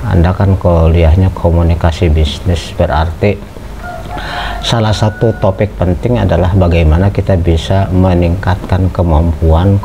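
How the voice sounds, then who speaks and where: A middle-aged lecturer talks to his students in a low, easygoing voice, pausing on frequent fillers and turning to ask them questions. The recording sounds muffled, with a clear wash of background noise under him. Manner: conversational, many hesitant fillers, asks students questions.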